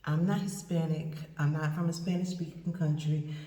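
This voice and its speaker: A woman's voice: sits low, fairly even intonation, moderate pace, dark tone. A woman with an East Texas accent, sore and swollen after surgery, rambling candidly in a casual video diary. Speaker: vlogger recovering from surgery. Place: indoor room with a door. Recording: echoey room, voice from far off.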